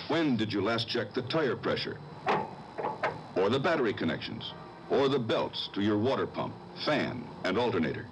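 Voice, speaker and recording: An older man giving a folksy scripted commercial address as a car company spokesman. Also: on the low side. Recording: faintly noisy 1970s TV tape.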